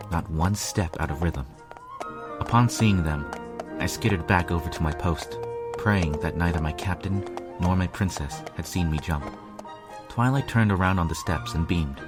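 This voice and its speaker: A man narrates fiction in a very low voice. His delivery is earnest, expressive and measured. Music is clearly heard behind him throughout. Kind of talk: dramatic first-person story narration.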